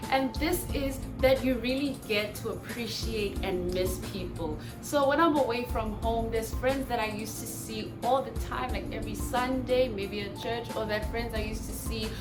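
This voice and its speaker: A woman's voice: a little high, moderate pace, hardly any pauses. A young woman from South Africa with a South African accent, telling stories in a casual vlog and acting out both sides of her conversations. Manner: animated storyteller, acts out both sides of conversations with laughs. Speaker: vlogger, international student. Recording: echoey room with music behind.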